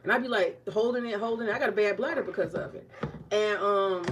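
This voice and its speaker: A woman's voice: mid-range voice, quick pace, a little hiss or hum. Joking, frank woman in her forties. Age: 40s. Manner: candid, joking, swears freely, talks to viewers. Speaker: retired teacher cooking on her channel. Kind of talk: casual cooking vlog chat. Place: home kitchen.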